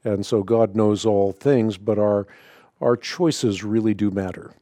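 Male call-in host, mellow and dry, measured teacher's tone. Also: fairly low pitch, fairly even intonation, no echo. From Netherlands. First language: Dutch. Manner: explanatory, measured, frequent uh fillers. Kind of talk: call-in radio Bible answers.

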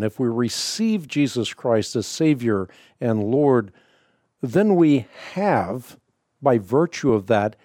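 Dutch-born guy hosting call-in radio, no accent, calm lecturing tone, dry close sound. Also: medium pitch, no echo. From Netherlands. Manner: measured, explanatory, lecture-like. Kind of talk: answering Bible questions on call-in radio.

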